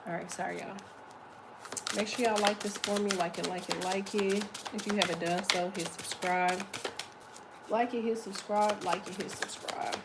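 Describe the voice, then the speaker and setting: A woman with a deep voice giving a casual, chatty tarot reading, swearing freely as she talks. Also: steady tone, moderate pace, very noisy. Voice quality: deep, slightly muffled voice. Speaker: tarot reader.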